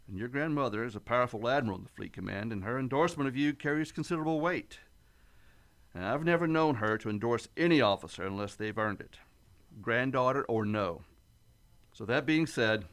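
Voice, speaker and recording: A man's voice: medium pitch, fairly bright tone. A man playing an authoritative, good-humoured starship captain in a science fiction radio play. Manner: authoritative, giving orders.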